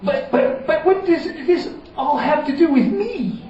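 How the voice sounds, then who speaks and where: A man's voice: extremely high pitch, lively intonation, unhurried, mellow, voice pushed up. A man voicing a flustered wizard who stammers and defends himself. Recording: roomy, distant, slightly dull.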